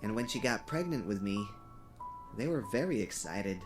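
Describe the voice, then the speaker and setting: A man voicing an awkward, mild-mannered teenage boy in an animated comic dub, halting and apologetic. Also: on the low side, over music, natural voice. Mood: awkward confession.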